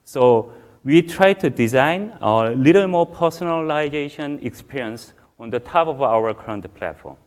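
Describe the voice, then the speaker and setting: A man in his forties with a Korean accent, a native Korean speaker giving a halting technical talk on a conference stage, with many fillers. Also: mid-range voice, lively intonation. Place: large conference hall stage.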